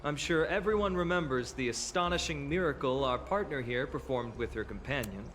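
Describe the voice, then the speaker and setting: An actor playing an earnest, resolute young warrior hero in an anime fantasy game. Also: male voice, mid-range voice, a little fast, fairly bright tone, long reverb tail, a little hiss or hum, close to an everyday voice. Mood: determined, strategic.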